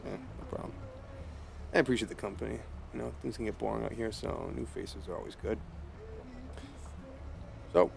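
A man's voice: moderate pace, creaky, long pauses. An actor in a radio play voicing a folksy, gossipy rural ranch hand who drawls casually as he gives a lift. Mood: chatty. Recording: noisy, with vehicle rumble.

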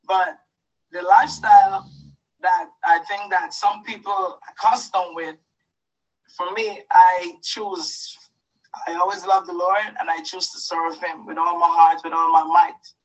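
Woman, from Guyana, testimony, halting, slow, distant from mic, lo-fi call audio. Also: lowish voice, steady tone. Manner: hesitant, halting, with fillers. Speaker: church member giving a testimony. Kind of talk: emotional personal testimony.

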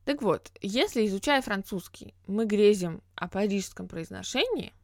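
A woman's voice: mid-range voice, animated, moderate pace, a little mellow, no room sound, studio quality. Woman hosting a reflective podcast monologue. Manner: explanatory, reflective, with frequent hesitation sounds. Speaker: podcast host.

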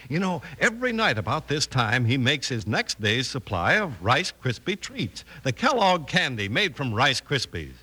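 Animated American old-time radio announcer. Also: male voice, medium pitch, moderate pace, few pauses, husky. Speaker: announcer and narrator. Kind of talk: 1950s radio announcing, scripted narration. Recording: hissy, noisy old radio broadcast.

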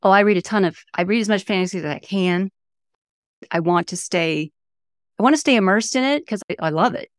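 A woman's voice: medium pitch, brisk, no echo, clean studio sound. A woman in her fifties from the St. Louis area, an author chatting enthusiastically as a podcast guest. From St. Louis area, USA. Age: 50s. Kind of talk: casual interview talk.

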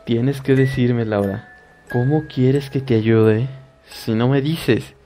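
A man's voice: mid-range voice, fairly even intonation, takes it slowly, mellow, soft background music, natural voice. A man voicing a worried mother who speaks firmly and soothingly to her daughter in a horror story. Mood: calming. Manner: soothing, firm, reassuring. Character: worried middle-aged mother, calming.

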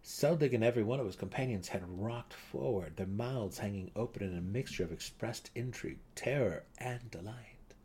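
A man's voice: on the low side, moderate pace, few pauses, mellow, a little hiss or hum. A man reading a fantasy novel aloud as a dramatic narrator. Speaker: audiobook narrator.

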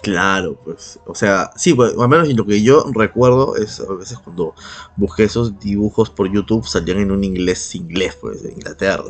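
A man with a Peruvian accent and a husky voice chatting casually as a podcast co-host, slangy and profane. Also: on the low side, moderate pace. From Peru. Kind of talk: casual chat, reminiscing.

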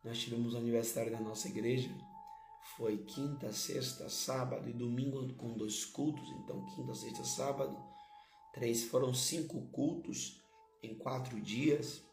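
A hoarse male pastor, preaching a sermon and repeating key phrases to his listeners. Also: medium pitch, fairly even intonation, unhurried, a little mellow, reverberant, soft background music.

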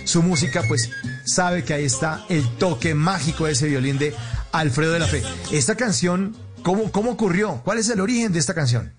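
A man's voice: a little high, lively intonation, fairly quick. A jovial man with a Colombian accent, hosting a light-hearted radio chat show. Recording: music playing behind the voice. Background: music.

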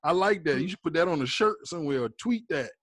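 Playful, slangy man hosting, higher-pitched voice. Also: lively intonation, quick pace, fairly bright tone, dry recording, clean studio sound. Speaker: host, comedian. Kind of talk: casual comedy interview chat.

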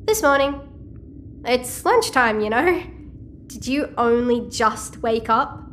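A quirky teenage hacker girl, speaks in a high voice that swoops with cheeky energy. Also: lively intonation, takes it slowly, a bit bright, slight background noise. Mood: cheeky, confident. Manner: cheeky, chatty, slangy. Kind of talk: audio-fiction drama dialogue.

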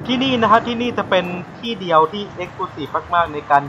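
A man's voice: high voice, lively intonation, fairly quick, lo-fi sound, noisy background. A man hosting a casual live podcast, bantering and often restarting his phrases. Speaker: podcast host.

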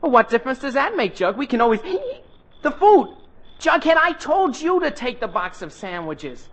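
A man's voice: very high-pitched, big pitch swings, moderate pace, fairly bright tone, heavily acted. An actor playing a cheery, stubborn American teenage boy, eager and boastful, in 1940s radio comedy style. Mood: insistent. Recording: noisy old radio recording.

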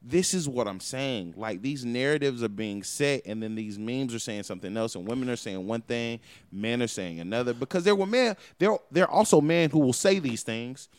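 A man's voice: medium pitch, moderate pace, hardly any pauses, clean tone, dry recording. A man hosting a podcast in casual chat, telling stories animatedly and swearing freely. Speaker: podcast host.

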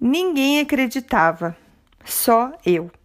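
A woman's voice: sits high, sing-song, slow pace, soft background music. A woman with a Brazilian Portuguese accent hosting a motivational podcast, talking in a conversational, encouraging, reflective way. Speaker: podcast host.

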